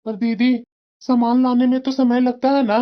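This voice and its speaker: A woman's voice: a little high, level tone, moderate pace, dull audio, close to an everyday voice. A woman voicing the family's young son in a cartoon. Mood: defensive.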